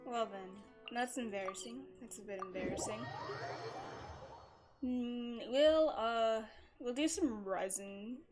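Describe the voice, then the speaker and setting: A woman streams a game with loud game music behind her. She talks in an unhurried, casual way, drifting into mumbled asides and small exclamations. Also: fairly high pitch. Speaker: live streamer playing a video game. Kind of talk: casual chat while gaming. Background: video game music and sounds.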